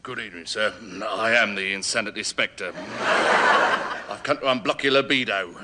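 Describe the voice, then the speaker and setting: An actor voicing a polite, chirpy ghost of insanity in a 1960s radio comedy sketch. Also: male voice, on the low side, moderate pace, lightly acted. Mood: eager, polite. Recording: very noisy 1960s radio broadcast.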